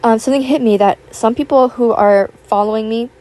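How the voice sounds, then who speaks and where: A young woman, raspy from just waking up, rambling chattily over a voiceover and recorded at home on a built-in tablet mic. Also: fairly high pitch, brisk, mellow. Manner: rambling, filler-heavy, chatty. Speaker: YouTuber. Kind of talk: casual chat voiceover. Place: home, with household noise. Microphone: built-in tablet microphone.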